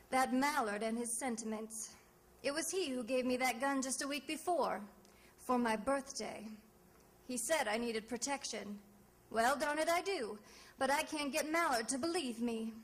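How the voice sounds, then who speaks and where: An actress playing a tough, wry female private detective, narrating her case in old-time radio drama style. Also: high-pitched. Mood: rueful. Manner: hard-boiled, wry, dramatic. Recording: radio drama with music behind.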